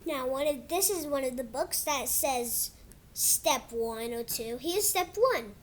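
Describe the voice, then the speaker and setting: A child hosting a read-aloud of a picture book, reading haltingly like a beginner and drawing words out. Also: sits high, animated, slight background noise.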